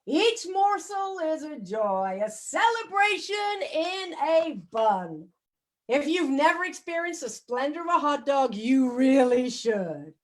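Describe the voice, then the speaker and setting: A woman voicing a greedy, excitable male pigeon from a storybook, in a put-on American accent with loud, drawn-out, exaggerated delivery. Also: high-pitched, full character voice. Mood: gloating. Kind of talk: children's storybook reading.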